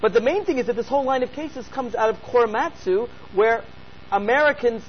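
A man with a high voice hosts a call-in radio show and fires off questions at a brisk clip. He pushes hard against the other side. The broadcast sound is dull and lo-fi, with a faint hiss underneath. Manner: pressing, rapid questioning. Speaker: radio talk show host.

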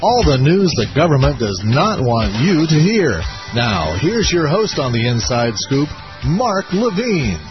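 A man announcing a radio show in a slow, dramatic way. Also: on the high side, big pitch swings. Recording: dull sound over music.

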